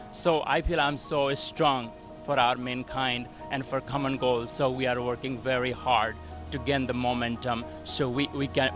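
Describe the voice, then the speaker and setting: Man, earnest, simple phrasing, music underneath. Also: mid-range voice. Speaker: interviewee. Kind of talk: recorded interview.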